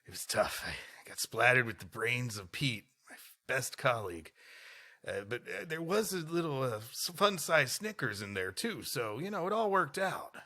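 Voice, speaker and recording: A man's voice: medium pitch, animated, moderate pace, bright, forceful tone. A man co-hosting a comedy podcast, reading history aloud and bantering around it. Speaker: podcast co-host.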